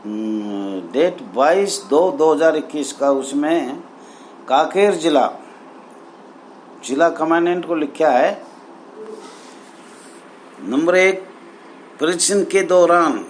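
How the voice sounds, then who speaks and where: A man addresses association members as their leader, reading out documents and commenting in deliberate, halting short phrases. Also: on the high side. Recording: steady background noise.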